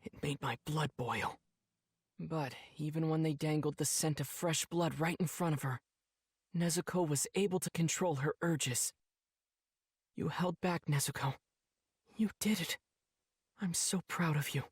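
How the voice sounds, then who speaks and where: A man's voice: a little high, measured pace, pauses often, husky, dry recording, studio quality. A man playing an earnest, emotional teenage boy demon slayer, narrating reflectively in an anime game. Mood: indignant, then proud, tender. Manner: earnest, heartfelt, softly reflective.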